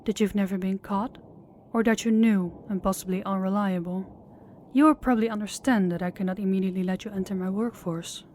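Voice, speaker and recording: A woman's voice: mid-range voice, steady tone, fairly quick, a little mellow, slight background noise, natural voice. A woman playing a sadistic female crime boss in an audio roleplay, speaking in a formal, controlled and menacing way. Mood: cool, businesslike.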